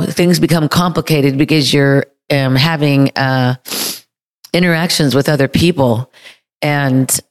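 A woman with a deep voice sharing candidly in a church group, emotional and halting, with many fillers. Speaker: group member.

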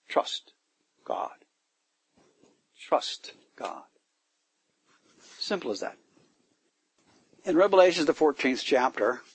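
A man's voice: mid-range voice, fairly bright tone, husky, dry recording. A man preaching a sermon to a congregation, rising into emphatic bursts and putting questions to his listeners. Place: church gathering in the woods.

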